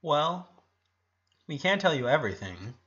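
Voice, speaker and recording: A man's voice: a little high, very animated, bright, forceful tone, highs cut off, exaggerated voice. Man playing a nervous, flustered young boy. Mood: embarrassed. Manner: halting, nervous. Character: flustered, embarrassed eleven-year-old boy, shy and nervous.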